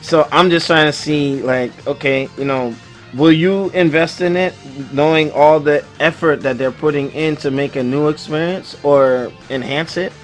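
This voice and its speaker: Slangy, higher-voiced man hosting, music behind. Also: moderate pace. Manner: informal, slangy, excitable. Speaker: host. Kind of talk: casual group chat show.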